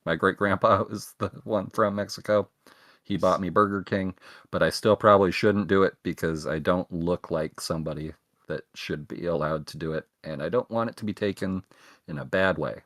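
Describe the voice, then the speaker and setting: A man's voice: low voice, moderate pace, fairly bright tone, no room sound, clean studio sound. A young man co-hosting a podcast, rambling casually with self-mocking jokes. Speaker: podcast co-host. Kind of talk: casual chat.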